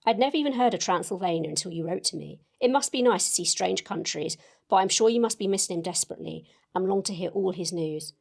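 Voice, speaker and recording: Young Victorian lady acted by a woman: sentimental letter-writer, gushing yet earnest, lowish pitch with audible fry. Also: fast talker, a little mellow. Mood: warm, chatty. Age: young adult. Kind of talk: dramatic reading of a letter.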